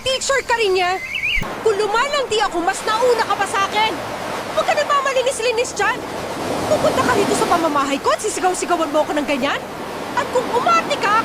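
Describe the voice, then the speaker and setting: A woman's voice: very high-pitched, very noisy. A woman playing a married woman caught in an affair, apologetic at first and then defiant, in a scripted audio drama. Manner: hesitant and apologetic, later sharp and defiant. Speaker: teacher.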